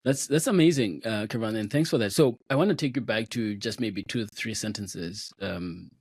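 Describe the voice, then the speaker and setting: A man's voice: fairly low pitch, moderate pace, a little mellow, breathy edge, dry recording, studio quality. A man from Zimbabwe hosting a podcast interview, talking conversationally with lots of affirming backchannels and filler words. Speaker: podcast host.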